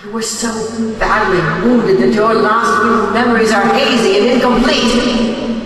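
A performer playing a cruel, taunting alien mind-prober in an audio drama, with a deliberate delivery and heavy reverb. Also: medium pitch, fairly even intonation, fairly bright tone. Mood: menacing demand. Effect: heavy echoing reverb. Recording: cavernous long reverb, distant, music underneath.

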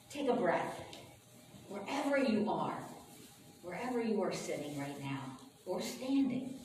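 A woman pastor speaking informally to her congregation in a chatty, folksy, unhurried way, as she welcomes them and leads prayer. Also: mid-range voice, darkish tone. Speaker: pastor leading a church service. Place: large echoing room with a congregation. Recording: roomy, distant, noisy church service recording.

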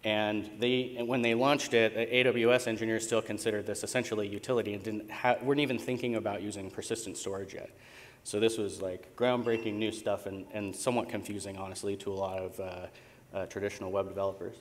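A man's voice: lowish voice, flat delivery, fairly quick, a little hiss or hum. An American man in his thirties giving a conference presentation in an informal, hesitant way, with frequent ums. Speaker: conference keynote speaker, tech company co-founder. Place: indoor conference stage in a large hall. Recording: reverberant hall sound from stage PA.

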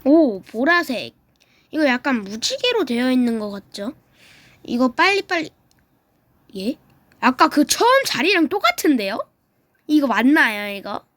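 A woman with a high voice talks her way through a video game at an unhurried pace, chatty and quick to exclaim at what she sees. She is getting over a throat cold. The sound is close and dry, with almost no room around it. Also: sing-song, a bit bright, dry recording. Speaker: gaming YouTuber. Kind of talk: casual game commentary.

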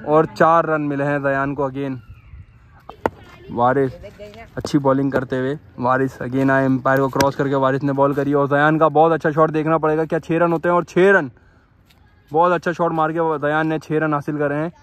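A man's voice: a little high, normal speed, slight background noise. A young man from Pakistan giving live ball-by-ball commentary on a street cricket match, filmed on a phone outdoors. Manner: repetitive excited play-by-play, calls out to the umpire. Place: outdoor dirt cricket ground.

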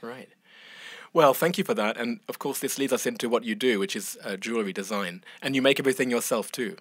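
A man of middle pitch asks his guest questions in a measured, curious way. The sound is dry, with no room around it. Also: husky, no echo. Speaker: podcast host. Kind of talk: interviewer.

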